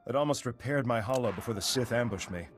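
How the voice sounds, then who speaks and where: An actor playing a grave, weary, duty-bound Jedi scout in a video game. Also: male voice, mid-range voice, quick pace, close to an everyday voice. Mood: relieved, dutiful. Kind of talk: video game cutscene acting.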